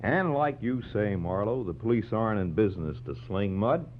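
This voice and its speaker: A man acting a gruff, shrewd homicide lieutenant in a 1940s radio drama, with terse deadpan replies. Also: fairly low pitch, normal speed, a little hiss or hum, lightly acted. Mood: dry, knowing. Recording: muffled, humming 1940s radio recording.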